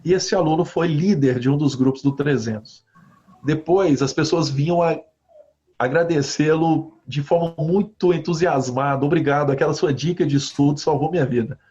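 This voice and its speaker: A man from Brazil, a university professor, answering interview questions with animated stories, often ending phrases with a questioning tag. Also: on the high side, moderate pace. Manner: animated storytelling with frequent "né?" tags. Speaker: guest professor. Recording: slightly dull lo-fi audio.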